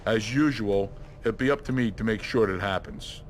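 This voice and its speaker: A man's voice: on the low side, moderate pace, background noise, natural voice. An actor playing a fed-up workshop boss in a video game, blunt and scolding in his way of talking. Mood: exasperated.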